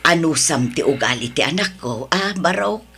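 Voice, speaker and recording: A man voicing an apologetic, fussing elderly mother in a radio drama, with a raspy voice and an Ilocano accent. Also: on the high side, expressive, moderate pace, sharp tone, exaggerated voice. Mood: apologetic, coaxing.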